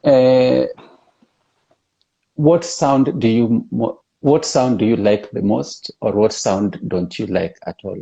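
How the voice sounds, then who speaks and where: A man interviewing someone in a casual, conversational way, with hesitant, unhurried phrasing. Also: mid-range voice, creaky, warm tone, breathy edge, low-quality recording. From East and West Africa. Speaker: interviewer, host.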